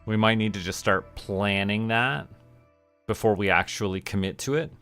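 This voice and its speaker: Casting: male game streamer, lowish voice, casual think-aloud commentary, music underneath. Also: moderate pace, fairly bright tone. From Canada. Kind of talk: casual livestream gameplay commentary.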